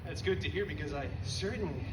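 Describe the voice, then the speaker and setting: An actor playing a wisecracking masked male mercenary who tells a story with sarcastic, animated jokes at a live theme-park show. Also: a little high, fast talker, heavy background noise, lightly acted. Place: outdoor theme-park stage. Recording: roomy outdoor stage sound from far off, music.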